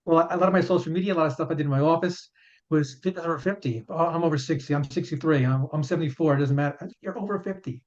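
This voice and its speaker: A man's voice: a little high, fast talker. A man in his fifties, a chiropractor and author, answering interview questions in an earnest way and often repeating words as he explains. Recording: dull lo-fi sound.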